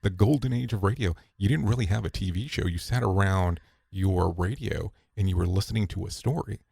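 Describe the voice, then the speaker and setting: A man being interviewed on a podcast, telling stories in a relaxed way with restarts and quote-unquote asides. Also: low voice, brisk, creaky, breathy edge, no echo, clean studio sound. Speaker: podcast guest, safety broadcaster. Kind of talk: conversational interview answers.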